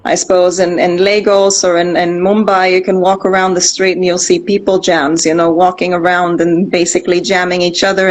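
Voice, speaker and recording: A woman's voice: lowish voice, rough recording. A middle-aged woman from Austria, a guest in a casual interview, talking in a reflective, rambling way with many fillers and restarts.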